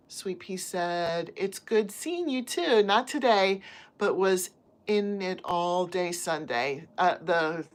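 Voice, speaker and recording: A woman from the United States, a crochet livestream host, chatting casually with viewers and reading their comments from her phone at home. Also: medium pitch. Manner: chatty, friendly, talks to chat viewers by name. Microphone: phone on a stand.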